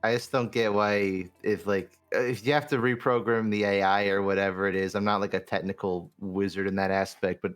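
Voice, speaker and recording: A man's voice: fairly low pitch, fairly even intonation, fairly quick, few pauses, clean tone. Man in casual gaming talk over faint music. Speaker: podcast guest.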